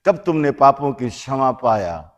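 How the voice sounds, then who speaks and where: A man preaching a sermon as a bishop, slow and emphatic, swinging from loud repeated phrases down to soft, quiet lines. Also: mid-range voice, sing-song, lo-fi sound. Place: church. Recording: long church reverb.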